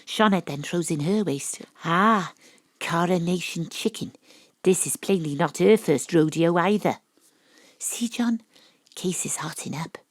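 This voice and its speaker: A brash female amateur detective, narrates her investigation in a fairly low voice. She is theatrical, knowing and conspiratorial, in the style of an audio drama, with a very dry, close sound. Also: unhurried, no room sound. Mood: hushed, knowing.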